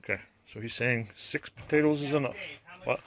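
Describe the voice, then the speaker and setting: Bright-voiced man hosting an outdoor cooking vlog. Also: mid-range voice, fairly quick. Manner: upbeat, chatty, laughs easily. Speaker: homestead vlog host. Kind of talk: casual chat while cooking. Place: outdoor homestead kitchen under a tarp.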